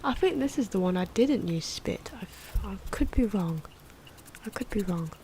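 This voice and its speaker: A soft-spoken, sometimes whispery woman giving unscripted, musing ASMR commentary as she draws, correcting herself as she goes. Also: medium pitch, expressive, moderate pace, a little hiss or hum, low-quality recording. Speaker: ASMR artist narrating own drawing. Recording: clock and pen noises behind. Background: ticking clock, pen scratching.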